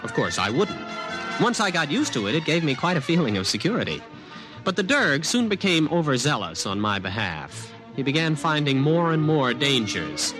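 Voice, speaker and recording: A man's voice: on the high side, big pitch swings, moderate pace, poor audio. A young man playing a skeptical college student in a 1950s radio drama, talking in a casual, wry way. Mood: wry narrating. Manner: casual, hesitant questioning, wry. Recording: vintage radio recording with music.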